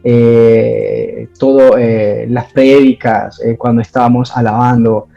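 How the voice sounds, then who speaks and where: A man's voice: mid-range voice, flat delivery, slow, vocal fry, dark tone, lo-fi sound, faint music behind. A man from Ecuador, giving his church testimony in an interview and talking hesitantly, with many fillers and restarts.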